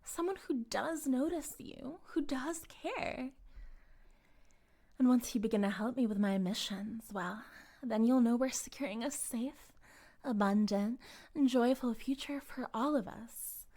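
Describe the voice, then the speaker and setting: Woman acting as female cult leader, slow delivery, fairly high voice, possessive, condescending, cooing, theatrical, intimate roleplay monologue. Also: dark tone. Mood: rapturous, self-satisfied. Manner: theatrical, cooing, condescending, drifting into breathy whispers. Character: female cult leader captor, sweetly menacing, self-righteous, possessive. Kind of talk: intimate audio roleplay monologue addressed to the listener.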